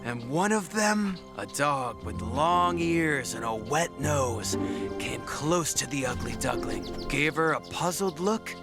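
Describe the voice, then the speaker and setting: Slightly high-voiced man narrating a children's story. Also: animated, takes it slowly. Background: music.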